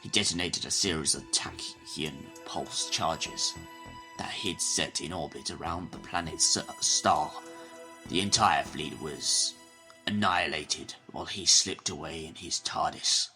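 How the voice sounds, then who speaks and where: An actor playing a stern, authoritative male president at war in an audio drama, speaking formally and gravely. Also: a bass voice, measured pace, sharp tone, soft background music, exaggerated voice, low-quality recording. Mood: grave briefing.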